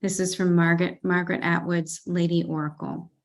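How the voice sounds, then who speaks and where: A woman's voice: fairly low pitch, flat delivery, fairly quick, gravelly. A middle-aged woman presenting a writing workshop over a video call, earnest and enthusiastic as she reads excerpts aloud. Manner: earnest, enthusiastic about examples.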